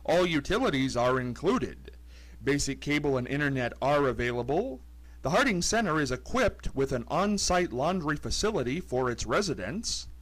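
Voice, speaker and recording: A man reading a scripted local radio ad in an upbeat way. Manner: upbeat read with lively pitch. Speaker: ad announcer.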